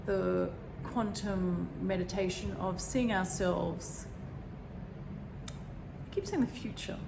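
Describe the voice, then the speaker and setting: Woman, croaky gravelly voice, low-ish pitch, gentle meditation teacher, roomy echo, background noise. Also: slow. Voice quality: croaky, frog in throat. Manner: gentle, rambling, searching for words. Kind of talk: guided meditation talk. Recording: echoey room with background noise.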